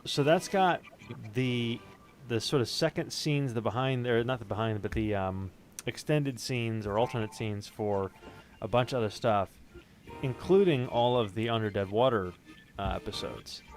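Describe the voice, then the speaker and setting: A man's voice: medium pitch, moderate pace, soft background music. A man hosting a show in casual, conversational talk. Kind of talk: casual chat.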